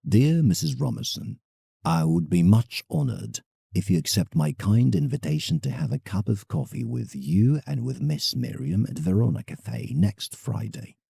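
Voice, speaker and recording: A man's voice: lowish voice, expressive, moderate pace, gravelly, mellow, husky, no echo, clean studio sound, full character voice. An actor playing a proud, wounded, sentimental male painter, reading his letter in a theatrical, confiding way for an audio drama. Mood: courteous.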